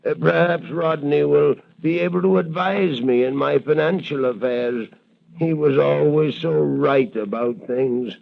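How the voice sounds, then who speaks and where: A man's voice: on the high side, unhurried, creaky. An actor playing a grieving, credulous aging banker father in a 1940s radio drama, solemn and wistful. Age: older. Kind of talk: 1940s radio adventure acting. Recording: muffled, band-limited vintage radio recording.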